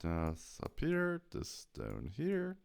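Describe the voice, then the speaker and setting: A man presenting a software tutorial, explaining each step as he goes. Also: a little high, huge pitch swings, drawn-out words, gravelly, clean tone.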